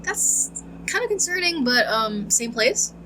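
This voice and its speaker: A woman's voice: high-pitched, very animated, measured pace, noisy background. A woman streaming a game, playful and casual, commenting and reading dialogue choices aloud. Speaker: gaming streamer. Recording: game music behind the voice.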